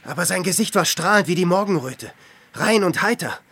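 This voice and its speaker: Tormented husky hermit saint. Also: male voice, sits high, expressive, fairly quick, sharp tone, exaggerated voice. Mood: troubled, suspicious. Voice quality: husky, breaks into whispers. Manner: anguished, declamatory, swinging from murmur to outburst. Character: tormented ascetic male hermit saint, fervent, doubting, weary. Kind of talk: radio drama acting.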